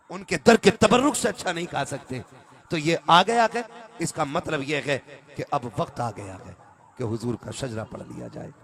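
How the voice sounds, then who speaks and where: A man's voice: on the high side, vocal fry, bright, forceful tone, breathy edge. A man delivers a conversational religious sermon to a live gathering, urging listeners to respond and at times reciting verse. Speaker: Islamic preacher.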